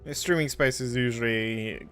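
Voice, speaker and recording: A man from Poland, a native Polish speaker with a Polish accent, casually chatting with viewers while playing a game, halting and restarting his words. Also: mid-range voice, faint music behind. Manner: relaxed, halting, frequent restarts. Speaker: streamer. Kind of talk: casual live-stream chat while gaming.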